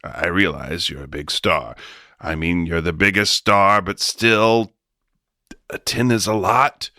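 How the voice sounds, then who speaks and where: A man's voice: sits low, steady tone, takes it slowly, bright, forceful tone, no room sound, heavily acted. A man playing a flustered studio boss in a comic radio drama, stammering and placating as he wheels and deals. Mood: stammering, pleading. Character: smooth-talking, flustered male studio boss wheeling and dealing.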